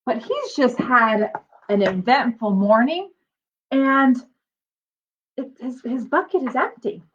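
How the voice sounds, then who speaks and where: A woman's voice: sits high, lively intonation, slow, a bit bright, dull audio. A woman reading a picture book aloud like a teacher, expressively and with simple explanatory asides for young children.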